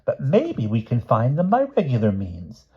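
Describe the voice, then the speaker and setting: A man voicing a small, mild, absent-minded gentleman in a storybook, with polite, measured, old-fashioned phrasing. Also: medium pitch, huge pitch swings, slightly rough, highs cut off, pitched above the performer's own voice, heavily acted. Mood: curious, mild.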